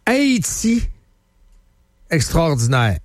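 A Quebec radio host with a slightly raised pitch, chatty and emphatic, who often repeats his points. The studio sound is dry and close. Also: big pitch swings, very slow, no room sound. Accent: Quebec French. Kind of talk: casual radio talk.

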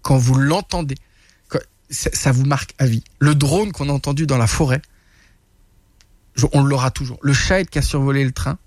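Guy in a studio interview, lively storytelling, lots of restarts, dry close mic. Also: medium pitch, normal speed, no room sound. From France. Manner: animated, many restarts and laughs. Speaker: guest, reporter and influencer. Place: radio studio.

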